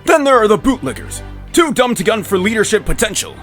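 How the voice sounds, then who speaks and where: A man's voice: sits high, sing-song, brisk, a bit bright, faint music behind. A man voicing a megalomaniac mad scientist villain who lectures in a grandiose, sneering way, for a fan comic dub.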